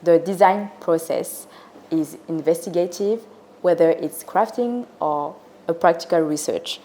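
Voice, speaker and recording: A young woman with a French accent hosting a casual interview, speaking slowly and laughing often. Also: on the low side, mellow, a little hiss or hum. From France. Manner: slow and giggly, laughs often. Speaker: host.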